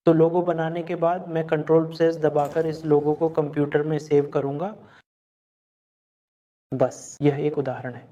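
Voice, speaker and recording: A man explains software steps one at a time in an instructional lesson. His voice is mellow and dark. The recording sounds a little dull and lo-fi. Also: a little high. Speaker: software tutor. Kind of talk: screen-recorded software tutorial.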